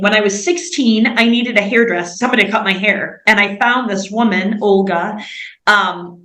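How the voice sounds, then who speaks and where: An American woman speaks about her missionary life in an interview with fervent conviction. Her voice sits at an ordinary middle pitch, and the room gives it a noticeable echo. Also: fairly bright tone. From United States. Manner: earnest, fervent storytelling. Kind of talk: personal testimony in an interview. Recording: echoey room.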